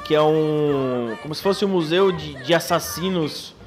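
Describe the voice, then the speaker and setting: A man's voice: fairly high pitch, measured pace, faint music behind. A man hosting a podcast in casual chat, recapping loosely with many restarts. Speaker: podcast host.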